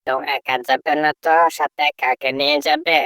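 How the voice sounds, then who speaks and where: A woman voicing a chatty, brusque female parrot in a cartoon, colloquial and full of questions and exclamations, with a creaky voice. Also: deep voice, steady tone, normal speed, fairly bright tone, no echo, clean studio sound. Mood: questioning. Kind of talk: cartoon character dialogue.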